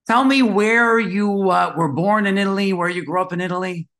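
A man's voice: sits high, moderate pace, fairly bright tone. A man hosting a podcast, welcoming his guest and asking questions with enthusiasm. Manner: enthusiastic, promotional. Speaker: podcast host. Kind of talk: podcast interview.

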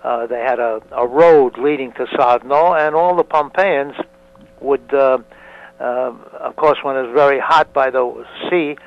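A man in his nineties whose first language is Italian, a museum founder answering interview questions slowly, with many fillers and restarts. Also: medium pitch. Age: 90s. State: hard of hearing. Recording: dull lo-fi recording.

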